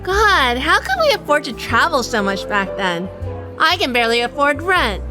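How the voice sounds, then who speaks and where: An actress playing a feisty, flirtatious and sardonic gangster's wife in a 1930s-style radio drama. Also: female voice, high voice, sing-song, normal speed, music in the background. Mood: nostalgic, curious. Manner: sassy, sardonic. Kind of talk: hardboiled period radio drama dialogue and first-person narration.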